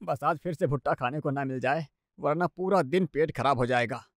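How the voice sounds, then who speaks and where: A man's voice: fairly high pitch, normal speed, dry recording, clean studio sound, close to an everyday voice. A man playing a sarcastic, complaining young husband in a cartoon family story. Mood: dreading. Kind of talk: animated moral story dialogue.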